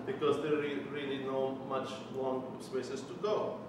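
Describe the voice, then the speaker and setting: A man's voice: mid-range voice, level tone, slow. A man in his forties from Palestine with a Palestinian Arabic accent, a church mission developer giving an earnest personal testimony with wry jokes. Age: 40s. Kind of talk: personal testimony talk to a committee. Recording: echoey room from far off, with noise.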